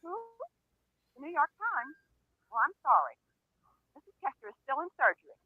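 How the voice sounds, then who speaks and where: An actress playing a brisk, busy hospital nurse at a switchboard in a 1950s radio drama, polite and clipped, on a vintage recording. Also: sits high, very animated, no echo, narrow, tinny audio.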